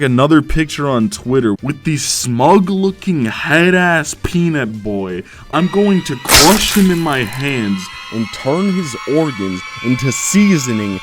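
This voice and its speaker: A man giving a casual, comedic movie review in a slangy, sweary way with mock-outraged exaggeration. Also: on the low side. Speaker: video reviewer. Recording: music behind the voice. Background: background music.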